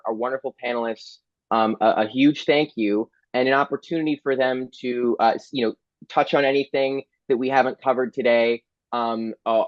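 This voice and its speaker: A man's voice: medium pitch, dry recording. Hesitant male moderator, dull lo-fi sound. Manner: hesitant, many fillers and restarts. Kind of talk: moderating a panel.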